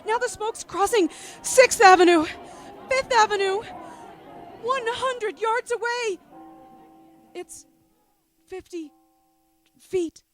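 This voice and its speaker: A woman's voice: extremely high pitch, faint music behind. Woman acting a doomed radio news reporter, clipped phrases. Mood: horrified, faltering. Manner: clipped bulletin phrases with halting breaks. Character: doomed radio reporter, grave, increasingly horrified eyewitness. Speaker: actor in a radio drama.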